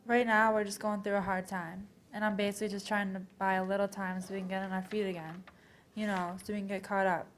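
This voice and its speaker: A woman's voice: mid-range voice, flat delivery, quick pace, a little hiss or hum, natural voice. A young woman performing a scripted readers theater piece, reading aloud with occasional stumbles. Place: college library event. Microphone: worn microphone.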